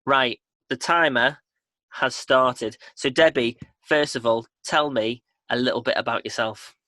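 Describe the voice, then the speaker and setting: A man with a bright, forceful voice opens a casual interview in an upbeat, joking manner. The sound is dry and close. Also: mid-range voice, unhurried, no room sound. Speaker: podcast host.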